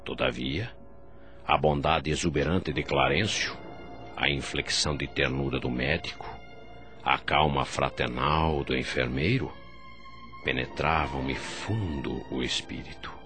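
A very deep-voiced, remorseful man, tells his own story over soft music. His Brazilian Portuguese is formal and solemn, turned inward like a confession in a radio play. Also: a little slow. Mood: solemn reflection. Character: remorseful male doctor spirit recalling his past. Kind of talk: first-person radio drama narration.

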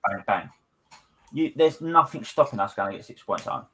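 A man's voice: lowish voice, lively intonation, brisk, fairly bright tone, a little hiss or hum. A man hosting a casual football discussion, speaking emphatically and repeating his phrases for effect. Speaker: podcast host leading discussion.

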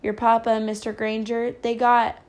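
A man's voice: extremely high pitch, monotone, normal speed. A woman voicing an earnest, slightly puzzled country boy in an audiobook. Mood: earnest, matter-of-fact. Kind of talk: audiobook character dialogue.

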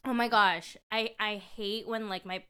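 Woman, 20s, animated, emphatic, bright crisp voice. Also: medium pitch, measured pace. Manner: animated, emphatic, repeats words. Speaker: co-host. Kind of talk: casual chat.

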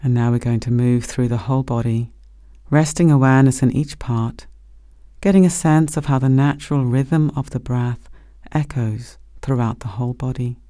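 A man gently leading a guided body scan meditation as a meditation teacher, speaking slowly and soothingly. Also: mid-range voice, no echo, clean studio sound. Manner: gentle, slow, soothing instruction.

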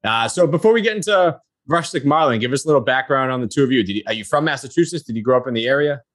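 A man hosting a podcast in an easygoing interview manner. His voice sits at a middle pitch and sounds dry and close, with little room around it. He reads a prepared introduction, then chats casually. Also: animated, rapid-fire, no echo. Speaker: podcast host.

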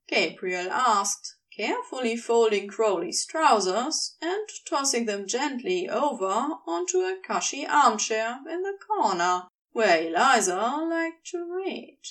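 A woman reading fiction aloud as an expressive narrator, with a wry, conversational way of telling the story. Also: on the high side, distant mic. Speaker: audio fiction reader.

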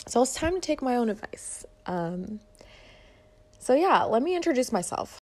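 A woman's voice: fairly high pitch, big pitch swings, moderate pace. A woman in her twenties from Detroit hosting her own podcast, talking casually and rambling with many fillers, in a soothing voice. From Detroit, Michigan. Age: 20s. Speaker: podcast host, fitness and mindset coach. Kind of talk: casual solo podcast monologue.

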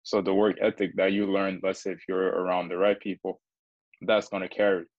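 Coach guy with a lowish voice, steady and earnest, dry close sound. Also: fairly quick, no echo, lo-fi sound. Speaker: basketball coach. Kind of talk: casual podcast chat.

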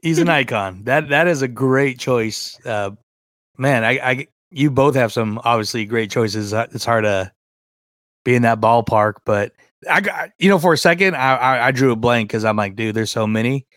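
A man's voice: mid-range voice, normal speed, a bit bright, dry recording, studio quality. A man co-hosting a podcast in casual chat, informal and joking, with some self-deprecation. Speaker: podcast co-host.

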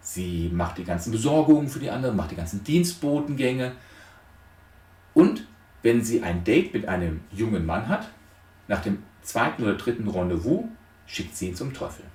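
A man giving an explanatory lecture with storytelling, speaking deliberately with dramatic emphasis. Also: lowish voice, sing-song, dark tone. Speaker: lecturer on psychotherapy. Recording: roomy echo, distant microphone.

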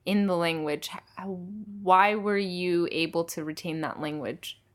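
A woman's voice: fairly low pitch, unhurried. A young woman hosting a podcast, asking the guest curious questions and murmuring along as she listens. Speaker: podcast host. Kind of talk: interviewing.

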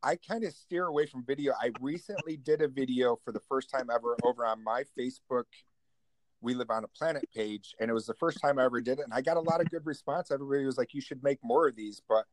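A man's voice: medium pitch, fast talker, dry recording, rough recording. A man appearing as a guest on a podcast, chatting in an easygoing, agreeable way. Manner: easygoing, agreeable, laughs along. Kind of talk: casual podcast chat.

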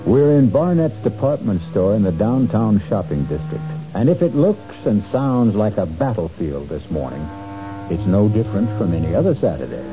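A male host narrating a mystery introduction in a dramatic, measured storytelling manner. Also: on the low side, very animated. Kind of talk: scripted narration. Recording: dull lo-fi 1970s radio broadcast with music. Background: music.

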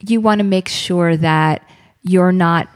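A woman co-hosting a podcast, chatting casually and answering listener questions with animated, swooping emphasis. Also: lowish voice, takes it slowly. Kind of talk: casual chat.

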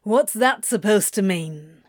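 A woman plays an insolent male demon from a story reading. He sounds sneering and defiant, hissing his contempt in a slow, deliberate delivery. The sound is close and dry. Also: mid-range voice, expressive, no room sound. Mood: insolent, sardonic.

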